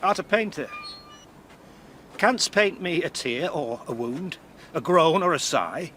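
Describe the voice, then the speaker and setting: A man's voice: on the high side, animated, takes it slowly, a bit bright. A male actor with a British accent playing a grief-maddened, vengeful older Spanish father in a classical radio drama, theatrical and volatile, with faint background noise. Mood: bitter, erratic.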